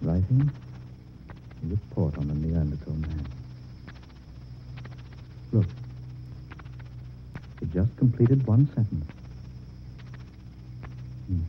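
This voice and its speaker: An actor playing an earnest, excitable man exploring a museum, with theatrical delivery in a 1940s radio horror style. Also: low voice, slow, long pauses. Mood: grim. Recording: tinny, noisy vintage radio recording.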